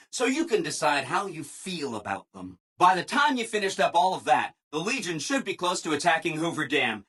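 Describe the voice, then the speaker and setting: Eager-to-please game robot, chipper, gushing, bright forceful tone, swooping pitch. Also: male voice, slightly rough, heavily acted. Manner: chipper, exaggeratedly agreeable, gushing. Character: relentlessly cheerful, eager-to-please male robot assistant. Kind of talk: video game character dialogue.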